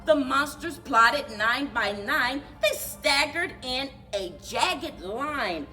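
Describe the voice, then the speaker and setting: A woman reading a children's storybook aloud in an animated, rhythmic singsong for a library story time. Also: high voice, takes it slowly, soft background music. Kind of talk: children's storybook read-aloud with chanted refrains.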